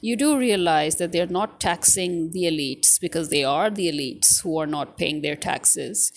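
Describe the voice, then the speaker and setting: A woman's voice: lowish voice, unhurried. A woman giving unscripted political commentary in an indignant, scornful manner, driving points home with emphatic rhetorical questions.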